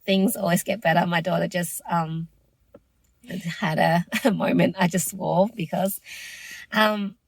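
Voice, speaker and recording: A woman's voice: lowish voice, steady tone, a little slow, creaky, dry recording. A woman hosting her own podcast, losing her voice, speaking in an earnest, reflective and encouraging way. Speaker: podcast host. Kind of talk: solo podcast monologue.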